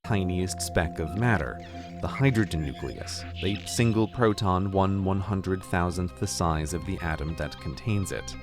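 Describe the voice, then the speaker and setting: Male narrator, low register, awed grandeur in a scripted read, over clear music. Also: normal speed. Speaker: narrator of a played video.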